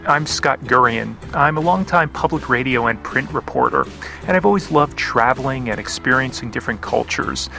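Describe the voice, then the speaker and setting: A man hosting a travel podcast, narrating in a relaxed, wry storytelling way. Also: medium pitch, vocal fry. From United States. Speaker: podcast host and reporter. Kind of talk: scripted podcast narration mixed with casual chat.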